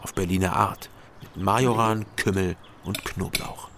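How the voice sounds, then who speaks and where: A man's voice: sits low, fairly even intonation, unhurried, noisy background. A man in his thirties narrating a crime radio drama in the first person, dry and reflective. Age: 30s. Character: former-drunk male detective narrator, world-weary.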